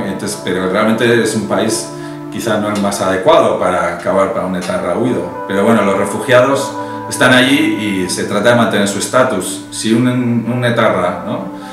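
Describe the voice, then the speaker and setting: A man the in his fifties, speaking thoughtfully as an interview guest, with frequent restarts and tag questions. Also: lowish voice. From Basque Country, Spain. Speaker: novelist and journalist, interview guest. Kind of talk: interview answers about his novel. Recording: very echoey room, voice from a distance, music behind.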